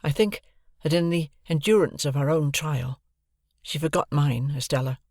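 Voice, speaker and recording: A man's voice: on the high side, normal speed, a little mellow, no room sound, clean studio sound. Man as a heartfelt, pleading young suitor, slightly raised voice, storybook. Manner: pleading, earnest. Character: earnest lovesick young man, trembling.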